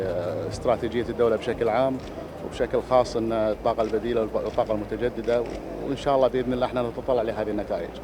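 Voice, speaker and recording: A man giving a formal statement at an event, with a few halting restarts. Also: mid-range voice, noisy background. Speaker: official representative.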